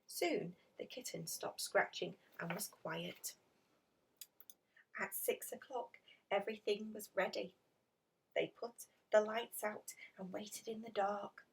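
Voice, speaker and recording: A woman reading a children's picture story aloud in an expressive, dramatic storytelling manner. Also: mid-range voice, slow pace, gravelly, breathy edge, recorded from a distance. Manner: animated, dramatic emphasis with hushed passages. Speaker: storybook reader.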